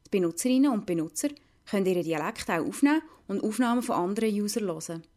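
A woman's voice: medium pitch, animated, fast talker, a little mellow. A woman with a Lucerne Swiss German accent, narrating a scripted radio report as a reporter.

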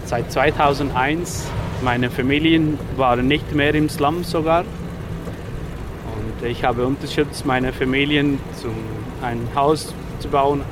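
A man's voice: mid-range voice, fairly even intonation. Guy in his 40s from india, hesitant german, lots of uh, street noise around. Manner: halting non-native German with frequent fillers. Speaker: interviewee, rickshaw driver. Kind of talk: casual interview.

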